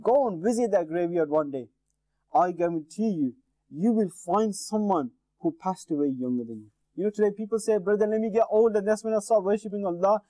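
A man's voice: high-pitched, brisk, warm tone, no room sound, low-quality recording. A bearded man in his thirties delivering an earnest Islamic sermon to camera from a TV studio desk, speaking into a lapel mic and addressing viewers directly. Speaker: Islamic preacher. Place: indoor TV studio with painted backdrop. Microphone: lapel mic, seated at desk.

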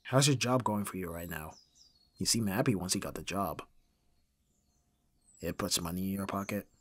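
A man playing a gruff but caring young roommate in an audio roleplay, casual and dryly grumbling, with a slightly husky voice. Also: low voice, normal speed, fairly bright tone, no echo. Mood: relaxed, caring. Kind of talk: ASMR audio roleplay acting.

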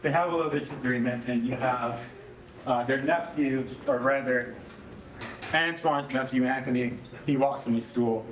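A young man hosting a live event, talking to an audience in an animated, conversational and inviting way. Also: medium pitch, moderate pace, a little hiss or hum. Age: young adult. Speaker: event host. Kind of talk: informal live introduction to an audience. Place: indoor rehearsal hall in front of an audience. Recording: echoey room with audience reactions.

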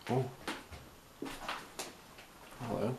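A man giving casual, chatty commentary as he explores an abandoned house on a handheld camera. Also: extremely slow. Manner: chatty, half-whispered asides. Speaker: urban explorer. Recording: roomy and noisy camera audio.

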